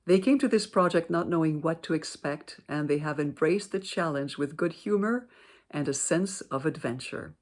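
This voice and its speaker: A woman introducing a program as its director, in a calm, welcoming, scripted way. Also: low-pitched. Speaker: director and producer.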